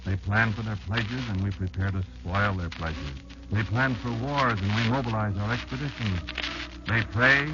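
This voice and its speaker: An actor playing a tiny, embittered insect elder who patiently instructs a child in a dramatic, halting radio-play monologue. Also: male voice, on the low side. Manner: dramatic, halting, deliberate storytelling with emphatic swings. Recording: muffled, very noisy old radio recording.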